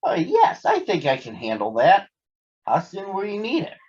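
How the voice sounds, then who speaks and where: A man's voice: high voice, huge pitch swings, moderate pace, a little mellow, breathy edge, pitched above the performer's own voice, full character voice. A man voicing an agreeable, obliging father bear in a storybook. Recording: dull lo-fi sound.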